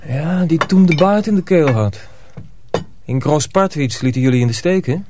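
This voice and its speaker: An actor playing a kindly teenage miller's apprentice, earnest and reassuring, in a radio drama. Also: male voice, fairly high pitch, animated, moderate pace, warm tone, slight background noise, close to an everyday voice. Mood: teasing, friendly.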